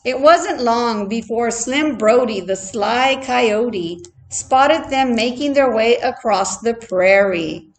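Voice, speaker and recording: A woman reading a children's story aloud expressively, like a teacher, deliberate and adding playful asides for her listeners. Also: on the high side, poor audio.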